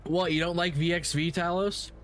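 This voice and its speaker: A man's voice: on the high side, fairly even intonation, moderate pace, fairly bright tone, soft background music. A man giving casual livestream commentary on games as the host, rambling and opinionated, with casual swearing.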